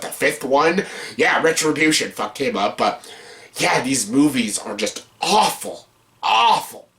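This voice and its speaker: Male video host, bright forceful voice, exasperated and foul-mouthed, casual review rant with emphatic repeats. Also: mid-range voice. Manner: blunt, profane, exasperated. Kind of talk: casual ranked-list movie review rant.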